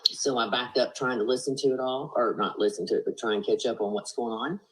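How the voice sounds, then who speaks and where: A woman's voice: a bass voice, fairly even intonation, a little fast, poor audio. A woman with a Southern US accent talking earnestly on a group video call, rambling with lots of fillers and "you know". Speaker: panelist on a live stream call. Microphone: video call, laptop or phone mic.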